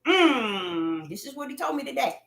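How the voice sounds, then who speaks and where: A woman preaches on a livestream, repeating her points and building to fervent cries. Her voice is bright and high, and it moves freely up and down. She speaks slowly, and the room around her echoes. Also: big pitch swings, vocal fry. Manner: preachy, repetitive, rising to fervent shouts. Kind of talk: livestream sermon.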